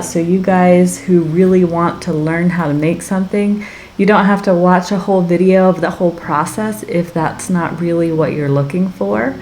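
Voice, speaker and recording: Slightly raspy, chatty woman, unhurried. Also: on the low side, a little mellow, slight background noise. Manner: chatty, enthusiastic. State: getting over a weekend sickness. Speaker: craft channel host. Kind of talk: casual tutorial narration over process video.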